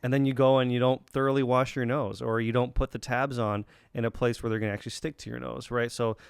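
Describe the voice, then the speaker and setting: A man's voice: mid-range voice, level tone, fast, gravelly, smooth, no room sound, studio quality. A man hosting a podcast interview, chatting casually and asking long, meandering questions with lots of short affirmations. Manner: conversational, frequent short affirmations, rambling questions. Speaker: podcast host.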